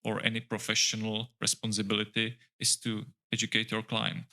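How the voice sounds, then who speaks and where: A middle-aged man speaks English in a low, level voice with a bright, forward edge. He talks in a considered, halting way, stopping often to search for the next word. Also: completely flat delivery. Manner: thoughtful, halting, with many "uh" pauses and restarts. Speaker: architect guest. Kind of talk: casual interview chat.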